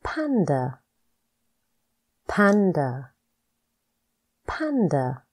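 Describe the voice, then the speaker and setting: A woman English teacher reading scripted pronunciation practice with deliberate, careful enunciation. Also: low-pitched, big pitch swings, a little mellow. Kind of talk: scripted lesson narration, reading words for practice.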